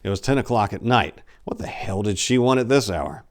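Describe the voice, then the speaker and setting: A man's voice: lowish voice, animated, a little fast. A man in his forties giving a dramatic reading of a short story into a lapel mic. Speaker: story reader.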